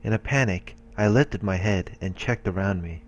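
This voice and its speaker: A man reading visual novel text aloud in a casual, halting way, as a let's player. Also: on the low side, highs cut off.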